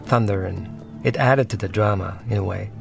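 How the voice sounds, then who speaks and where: Low, gravelly man talking through a film. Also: over music. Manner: halting, searching for words. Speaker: film director. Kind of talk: film commentary.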